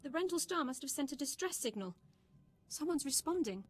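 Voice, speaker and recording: A nervous young-ranking crew member on a game warship. Her voice is high and urgent. She reports tensely to her superiors. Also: expressive, a little fast. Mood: alarmed. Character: anxious female junior warship bridge officer. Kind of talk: video game voice acting.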